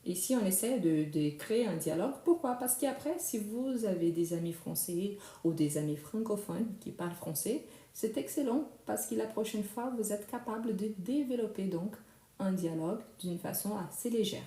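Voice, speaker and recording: A young woman whose first language is Brazilian Portuguese, teaching a live French lesson slowly and repeating phrases for learners. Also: medium pitch, expressive, mellow, reverberant.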